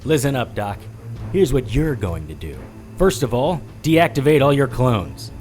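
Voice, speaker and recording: Casting: unflappable wisecracking gumshoe for noir audio drama; dry, tough delivery, prominent music underneath. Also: male voice, medium pitch, a little slow. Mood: forceful, threatening. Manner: wry, deadpan quips. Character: wisecracking male private detective, wry, tough, unflappable. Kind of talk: audio drama acting with noir first-person narration.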